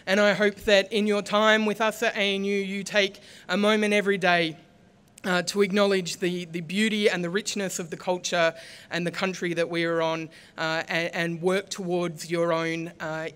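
Clear-voiced woman at the lectern. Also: on the low side, flat delivery, normal speed, a bit bright. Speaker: master of ceremonies. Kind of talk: formal welcome speech. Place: outdoor stage at a large ceremony. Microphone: lectern microphones.

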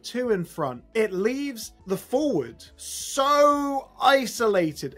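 A man in his thirties giving a blunt, emphatic, exasperated fan reaction to camera after a football match, with a husky voice. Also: high voice, a bit bright. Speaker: football fan channel host. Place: small room at home, indoors.

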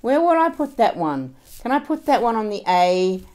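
A woman's voice: fairly high pitch, very animated, moderate pace, darkish tone, pitched above the performer's own voice. A woman playing a confused, agitated mother who pleads insistently and repeats herself in a stage monologue style. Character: confused, agitated mother fretting over her accounts.

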